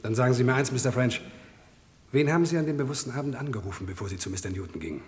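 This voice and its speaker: Actor as a relentless, fast-firing courtroom prosecutor. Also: male voice, medium pitch, natural voice. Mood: formal, probing. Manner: formal, pressing, sharply articulated questioning. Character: relentless male prosecutor, stern and accusing. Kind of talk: old German radio crime drama acting. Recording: vintage radio play, long hall reverb.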